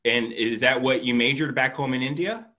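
A man hosts an interview podcast with a medium-pitched voice. He speaks in a conversational presenting style, introducing things and putting questions to a guest. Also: normal speed, pushed and bright. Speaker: podcast host. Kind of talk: conversational interview show hosting.

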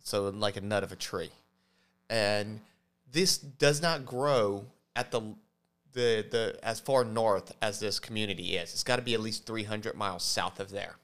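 A man co-hosting a podcast, explaining things casually in a halting way, often stammering and correcting himself. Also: lowish voice, a bit bright. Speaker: podcast host.

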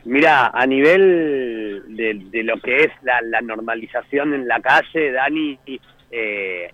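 A male journalist, speaking by phone in an interview and hesitating often with fillers and restarts. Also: mid-range voice. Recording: tinny phone line.

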